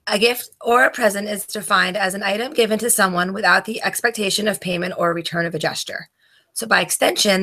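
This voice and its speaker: A woman's voice: mid-range voice, bright, forceful tone. A woman from New Jersey, a student debater reading a prepared presentation from notes, stumbling and restarting words now and then. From New Jersey, USA.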